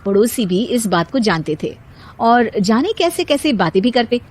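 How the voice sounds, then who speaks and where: A woman's voice: a little high, big pitch swings, normal speed, darkish tone. A woman narrating a scripted moral bedtime story as the storyteller.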